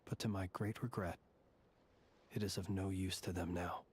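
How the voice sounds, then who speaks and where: An actor playing a solemn, courtly lighthouse warden who consoles ghosts in a video game, measured and eloquent. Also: male voice, low voice, fairly even intonation, heavy background noise, lightly acted. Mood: sorrowful, reflective. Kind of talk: video game character acting.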